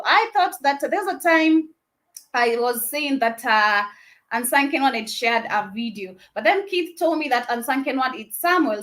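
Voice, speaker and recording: Woman, Kenyan Luo accent, young adult, radio host, chatty, slightly high voice, studio mic. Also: fairly bright tone. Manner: chatty, reads listener comments aloud, laughs easily. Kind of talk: casual live radio talk.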